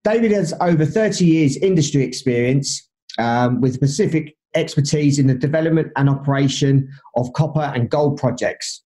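A man's voice: fairly high pitch, normal speed, dark tone. A man hosting an interview podcast who introduces his guest and asks chatty questions full of fillers. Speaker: podcast host.

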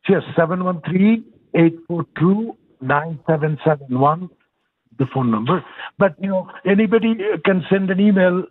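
A man's voice: high voice, lively intonation, moderate pace, dry recording. A man in his sixties from Bangladesh with a Bangladeshi accent, a nonprofit director calling in to an interview, speaking earnestly with hesitant restarts. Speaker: nonprofit executive director, guest. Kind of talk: casual interview over the phone. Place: calling in remotely. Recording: tinny phone line.

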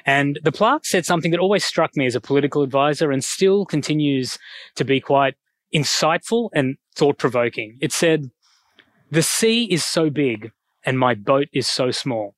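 Australian male speaker, middle-aged, headset mic, dry close sound, relaxed joking lecture delivery. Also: a little high, moderate pace, dry recording. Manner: relaxed, self-deprecating humor. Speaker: guest speaker. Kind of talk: public lecture. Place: school hall.

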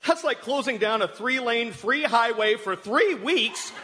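A fussy, excitable factory worker in a radio comedy. His voice is extremely high and sharp, swooping with drama, in a reverberant hall. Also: unhurried, exaggerated voice. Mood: fussy, indignant. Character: excitable, fretful small-town snowflake factory worker. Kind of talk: comic radio theatre acting. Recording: reverberant hall stage recording.

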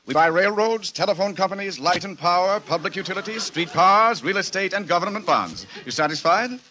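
A male actor playing a pompous, condescending bank vice president with an American accent, declaiming briskly in a 1940s radio comedy. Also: high-pitched, animated, sharp tone. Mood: pompous, explaining.

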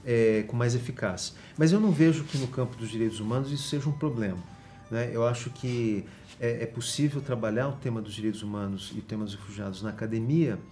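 A Brazilian man, a guest professor, explaining in an interview with frequent pauses and restarts. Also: mid-range voice, dark tone, soft background music. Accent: Brazilian Portuguese. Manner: explanatory, many fillers and restarts.

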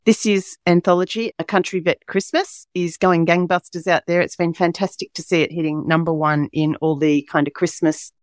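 An Australian woman hosts a podcast in a chatty, rambling way, her voice croaky and a little hoarse. The sound is dry and close, with no room around it. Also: fairly low pitch, fairly even intonation, moderate pace, hardly any pauses, no echo. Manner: chatty and upbeat, rambling asides. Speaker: podcast host. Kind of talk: casual podcast chat.

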